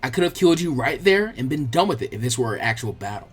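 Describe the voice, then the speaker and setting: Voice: medium pitch, very animated, fast, husky, faint music behind, pitched below the performer's own voice. A narrator voicing a tough young ninja girl who talks in a curt, scornful, scolding way in an audiobook story.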